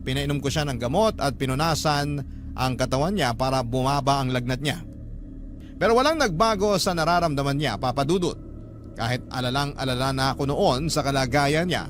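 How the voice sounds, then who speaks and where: A man acting as a sorrowful, respectful young storyteller in a radio drama, narrating politely and in a measured way with a Filipino accent. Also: mid-range voice, slight background noise, natural voice. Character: sorrowful young man recounting his uncle's death, respectful. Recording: music bed under voice.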